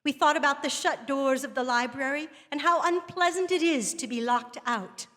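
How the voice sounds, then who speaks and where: High-voiced, eloquent woman writer, cavernous hall. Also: a bit bright. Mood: reflective, measured. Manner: measured, literary, rhetorical delivery. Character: earnest, eloquent woman writer lecturing to women. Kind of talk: dramatic reading of a literary lecture. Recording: long hall reverb.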